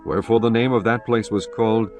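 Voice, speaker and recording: A man narrating scripture in a measured, solemn, formal way. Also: fairly low pitch, flat delivery, over music.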